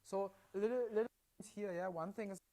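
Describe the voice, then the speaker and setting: A man's voice: high voice, fairly quick, warm tone. An upbeat man with a German accent walks viewers through a product on a live stream. He explains step by step and keeps checking in with little "yeah?" tags. His mic is clipped at his side. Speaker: livestream product presenter. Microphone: mic worn at his side.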